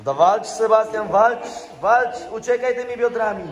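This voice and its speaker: A man coaching during sparring, shouting quick instructions to the fighters. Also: high voice, dull audio. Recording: echoey gym hall with music.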